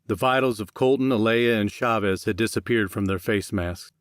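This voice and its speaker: A male audiobook narrator reads scripted fiction in a fairly low voice. The recording is dry, with hardly any room sound. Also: moderate pace, no echo.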